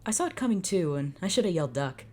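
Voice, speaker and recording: A woman voicing a blunt, aloof teenage boy who answers in flat, curt replies. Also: lowish voice, fast, voice pushed up.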